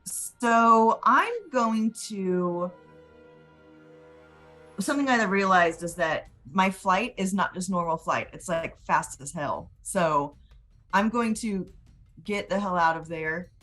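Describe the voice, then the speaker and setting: A woman's voice: fairly low pitch, slow pace, sharp tone, soft background music. A woman playing a tabletop roleplaying game, describing her moves in informal, plain-spoken language.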